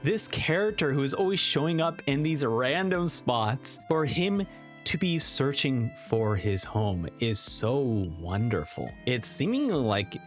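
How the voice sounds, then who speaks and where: A man giving enthusiastic video essay commentary as a review host, speaking in an excitable, emphatic and informal way. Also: mid-range voice, a little slow, soft background music.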